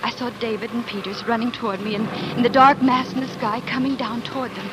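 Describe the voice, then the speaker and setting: A woman's voice: on the high side, fairly even intonation, quick pace, background noise, natural voice. An actress playing an earnest young pioneer farm wife and mother, telling her story in a 1940s radio drama. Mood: grave storytelling. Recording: 1940s radio recording with music.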